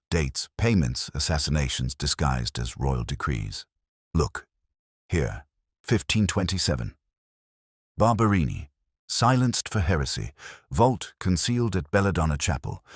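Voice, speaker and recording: Guy reading as intense victorian detective, really deep husky voice, clipped dramatic lines, audiobook style. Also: very animated, measured pace, dry recording, studio quality, heavily acted. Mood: urgent. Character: Victorian male detective, incisive, intense, theatrical. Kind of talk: audiobook character dialogue.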